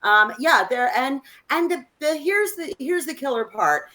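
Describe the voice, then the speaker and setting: A woman co-hosting an unscripted political discussion, speaking in an emphatic, opinionated way and halting as she gathers her thoughts. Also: sits high, lively intonation, bright, forceful tone, rough recording. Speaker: co-host of a political discussion show.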